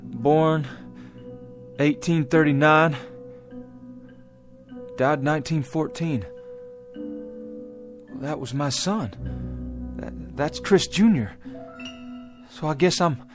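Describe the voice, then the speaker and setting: An actor playing an earnest, bewildered frontier father from the past in a radio drama, speaking in a halting, plain-spoken folksy way. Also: on the high side. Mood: stunned, halting. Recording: music under the voice.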